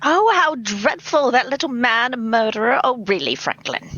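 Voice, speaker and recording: A woman's voice: on the high side, animated, normal speed, bright, forceful tone, muffled recording. A woman playing a genteel, melodramatic British lady in an amateur radio play, with theatrical delivery. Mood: shocked. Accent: put-on British. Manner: theatrical, dramatic exclamations.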